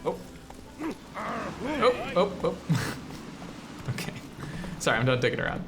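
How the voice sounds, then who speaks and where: A man's voice: sits high, huge pitch swings, slow, background noise. An American man from the Midwest hosting casual game commentary, rambling and explanatory with an enthusiastic manner. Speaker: show host, 3D artist.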